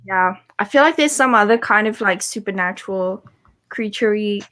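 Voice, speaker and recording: A woman's voice: mid-range voice, normal speed, a bit bright. A woman chatting casually as co-host of a livestream about books, on a video call. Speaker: co-host of a book readalong livestream. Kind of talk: casual chat.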